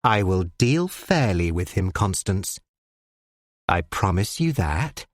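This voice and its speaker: A reader voicing a cool, sardonic elderly male judge who speaks in precise, measured phrases. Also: low voice, sing-song, no room sound, clean studio sound, voice pushed down, full character voice.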